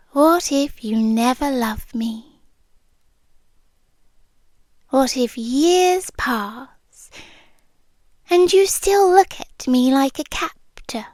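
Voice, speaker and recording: Actress as ancient female monster, British, possessive and lovelorn. High, very slow, intimate ASMR delivery, often whispered, close on mic. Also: a little mellow, no echo, full character voice. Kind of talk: yandere roleplay ASMR. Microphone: close microphone for headphones.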